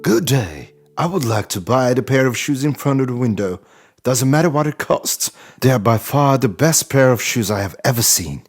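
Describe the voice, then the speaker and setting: A man voicing a wealthy, polite customer who speaks emphatically and admiringly in a children's animated storybook. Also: medium pitch, normal speed, slightly rough, faint music behind.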